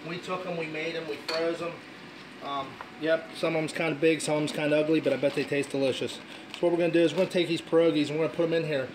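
A man's voice: fairly high pitch, fairly even intonation, fast, noisy background. A man in his forties hosting a casual home cooking demonstration in his kitchen, talking in an easygoing, rambling, self-deprecating way. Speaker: home cooking channel host. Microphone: handheld camera filming his hands.

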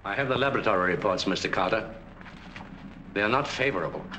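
An actor playing an elderly clinic doctor in a 1940s film, giving measured, emphatic advice. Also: male voice, lowish voice, animated, sharp tone. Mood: advising. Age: older. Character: elderly wise clinic doctor, kindly, lecturing. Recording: faint hiss on old film soundtrack.

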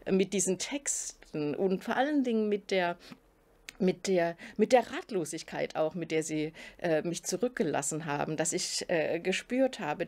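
A middle-aged woman professor from southern Germany, answering interview questions to camera in a studio, thoughtful and often pausing to search for words. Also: lowish voice, darkish tone. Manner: thoughtful, searching for words, frequent hesitations and restarts. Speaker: philosophy professor. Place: indoor studio before a curtain.

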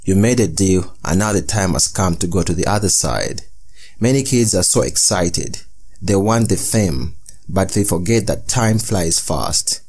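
Man acting a low, ominous soul-collecting demon. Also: lively intonation, a little slow, darkish tone, husky, exaggerated voice. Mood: menacing. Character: threatening male demon collecting souls.

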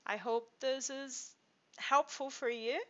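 A woman from Brazil with a Brazilian Portuguese accent, a native Portuguese speaker, demonstrating software in an unhurried screen-share walkthrough full of fillers and restarts. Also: high-pitched, steady tone, lo-fi sound. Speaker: software company presenter.

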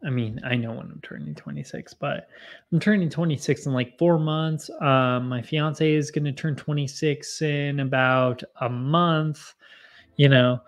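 A man in his mid-twenties hosting a casual live stream, rambling and self-deprecating as he chats with viewers from a home bar on a boom mic. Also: unhurried. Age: 20s. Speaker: livestream host. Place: home bar with bottle shelves, indoors. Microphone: boom mic in front of face.

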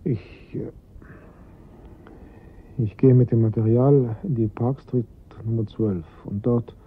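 Desperate father, radio thriller, husky, agitated, halting, dull lo-fi sound. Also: medium pitch, fairly even intonation. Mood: tense, submissive. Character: desperate, blackmailed father, an anguished office man. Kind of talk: German radio crime drama acting. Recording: dull, lo-fi radio play recording.

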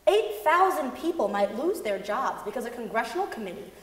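A woman's voice: fairly high pitch, very animated, a little fast. A young woman giving an emphatic dramatic reading of a first-person account on stage. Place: large concert hall stage, seated at music stand, before an audience. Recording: echoey concert hall.

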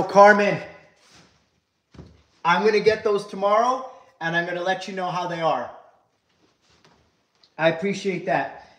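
Chatty young man with a high voice. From Hungary. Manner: chatty, reads viewer comments aloud, says guys often. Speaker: livestream host. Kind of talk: casual livestream chat. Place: small house under renovation, indoors. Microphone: phone held at arm's length.

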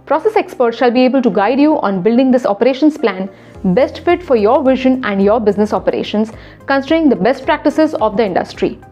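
A woman's voice: on the high side, expressive, dark tone, lo-fi sound, faint music behind. A young woman, a retail consultant presenting a scripted explainer to camera in a studio, instructive and emphatic. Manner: brisk, instructive, emphatic with hand gestures.

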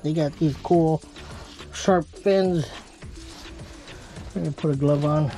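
Relaxed Minnesota fishing vlogger, slightly higher voice, easy chuckling narration, action cam in a small shelter. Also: male voice, faint music behind. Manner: relaxed, chuckling, unhurried commentary. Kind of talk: casual narration while ice fishing.

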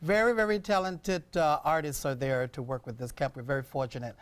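Middle-aged man with a higher-pitched voice. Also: fairly even intonation, a little fast, smooth. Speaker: theatre director, studio guest. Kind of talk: talk show interview. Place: television studio. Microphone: lapel mic.

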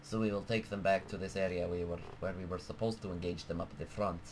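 A deep-voiced man chats through his flight sim session in a put-on Russian accent, drifting from dry asides to sudden excited yelps. A faint hum of room noise sits under his voice from a home desk mic. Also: quick pace. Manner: jokey, excitable, bursts of alarmed shouting. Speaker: gameplay commentator. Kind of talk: casual let's-play commentary.

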